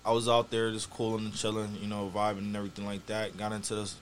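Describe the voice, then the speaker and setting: A man's voice: on the low side, flat delivery, fast, slight background noise. A man with a US East Coast accent telling a story in casual chat, slangy, profane and boastful.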